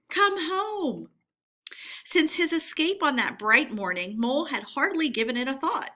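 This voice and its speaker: Woman, teacher read-aloud, expressive storybook narration, deliberate, bright tone, higher pitch.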